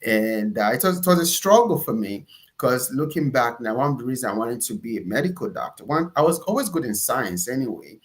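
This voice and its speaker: A man's voice: mid-range voice, very animated, fairly quick. Nigerian man telling his story. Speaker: interview guest, entrepreneur and pastor. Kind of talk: conversational personal storytelling.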